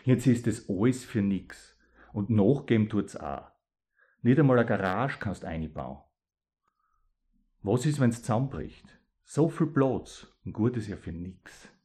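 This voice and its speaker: Low, mellow East Styrian man. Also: lively intonation. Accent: East Styrian Austrian German. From East Styria, Austria. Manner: thoughtful, with pauses and hesitations. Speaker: poet. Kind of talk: dialect poetry reading and talk about it.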